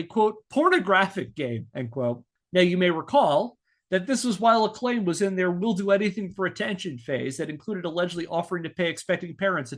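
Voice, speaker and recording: A man hosting a podcast, reading scripted narration with casual asides in a wry, emphatic storytelling manner. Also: high-pitched. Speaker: podcast host.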